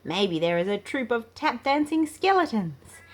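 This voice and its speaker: A woman's voice: fairly high pitch, big pitch swings, a little slow, pitched above the performer's own voice. A woman voicing an enthusiastic, friendly male musician from a storybook in an upbeat, eager manner. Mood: excited.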